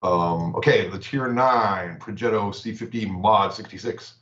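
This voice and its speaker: A man chatting on a livestream, halting and repeating words as he reads out game stats. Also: on the low side, fairly bright tone. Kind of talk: casual livestream chat. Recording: echoey room, dull lo-fi audio.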